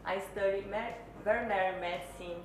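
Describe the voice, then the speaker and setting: A woman in her twenties with a Brazilian accent answers as a pageant contestant. Her pitch is average, and the room is very reverberant with clear noise. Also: steady tone, fast. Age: 20s. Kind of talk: live question and answer. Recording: very echoey, noisy room.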